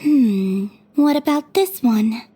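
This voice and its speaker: A woman playing a gentle, dreamy young maiden in an anime video game, speaking softly and wistfully. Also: fairly high pitch, animated, slow, exaggerated voice. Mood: thoughtful. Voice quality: soft, breathy. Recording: faint music behind.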